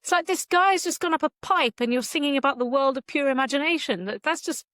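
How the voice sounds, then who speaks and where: A woman's voice: high voice, fast, fairly bright tone, no room sound, studio quality. A woman author in a casual interview, talking in a wry, chatty way.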